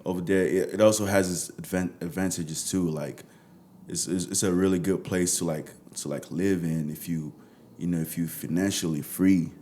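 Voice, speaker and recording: A man's voice: sits low, steady tone, moderate pace, dark tone. A young Nigerian man with a Nigerian accent, talking casually as a musician guest in an interview.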